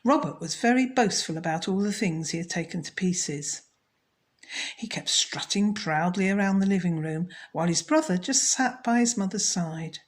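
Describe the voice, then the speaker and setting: A woman storyteller narrating a children's story in a measured, scripted read. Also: lowish voice, lively intonation.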